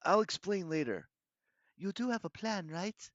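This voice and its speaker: A man voicing an anxious, quick-witted teenage boy in a storybook reading, urgent and questioning. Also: sits high, animated, dry recording, dull audio, pitched above the performer's own voice.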